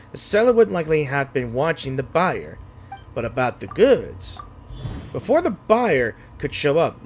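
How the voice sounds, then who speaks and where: A man streaming a game, giving casual playthrough commentary and reading choices aloud. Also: fairly high pitch. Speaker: gaming streamer. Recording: faint game music behind.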